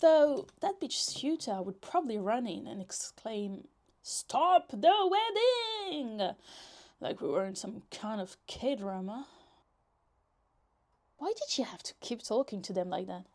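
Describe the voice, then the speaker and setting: A woman's voice: fairly high pitch, big pitch swings, takes it slowly, a little mellow, full character voice. A woman playing a possessive, lovesick yandere young woman in a roleplay ASMR, teasing, pouty and dramatic in casual, conversational speech. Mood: bitter, jealous.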